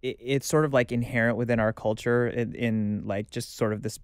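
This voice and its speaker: A man co-hosting a podcast, speaking in a reflective, soft-spoken way in casual conversation, recorded on a studio boom mic in a small podcast studio. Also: fairly even intonation, a little fast, clean tone, no room sound. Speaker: podcast co-host. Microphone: studio microphone on boom arm.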